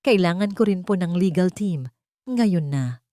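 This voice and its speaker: A woman's voice: fairly low pitch, lively intonation, unhurried, warm tone, no echo, studio quality. A woman voicing a guarded young heiress in a drama story, polite and resolute. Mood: urgent, firm.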